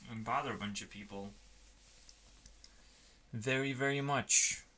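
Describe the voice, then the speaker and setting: A man rambling casually in a halting, musing way, often trailing off, as if talking through his work on a stream. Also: medium pitch, bright, forceful tone. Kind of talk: rambling casual chat while coding. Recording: noticeable background noise, keyboard clicks.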